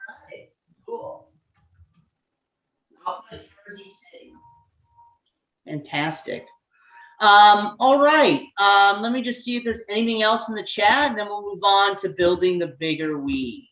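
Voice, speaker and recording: Slow-talking woman hosting a video call. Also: medium pitch, lively intonation, a bit bright, roomy sound, rough recording. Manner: chatty, halting, many ums and restarts. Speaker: online meeting host. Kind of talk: casual video-call facilitation.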